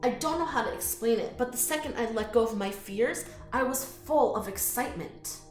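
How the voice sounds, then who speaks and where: A woman reading a picture book aloud to children in an expressive, inviting way, with friendly chatty asides. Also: fairly high pitch, moderate pace, faint music behind. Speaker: children's storytime reader. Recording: roomy echo.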